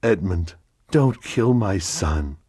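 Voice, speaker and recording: A man's voice: fairly high pitch, lively intonation, moderate pace, a little mellow, dry recording, pitched above the performer's own voice. A man doing a despairing noblewoman from a storybook reading, begging in a voice lifted well above his own. Her pleas swoop and surge, and the sound is dry and close. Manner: desperate, imploring. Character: anguished middle-aged noblewoman, pleading mother.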